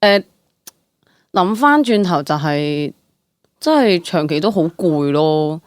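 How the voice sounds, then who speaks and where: A young woman with a Hong Kong Cantonese accent chats casually as an interview guest, in an animated, colloquial, exclamatory way. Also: low-pitched, takes it slowly, no echo. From Hong Kong, Southern District. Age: young adult. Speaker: actress, podcast guest.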